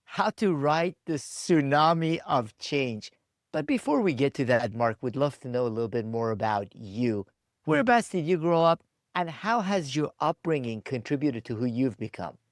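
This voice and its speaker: A man hosting a leadership podcast, asking questions in a slow, deliberate way. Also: mid-range voice, sing-song, no echo, clean studio sound. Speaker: podcast host. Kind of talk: interview hosting.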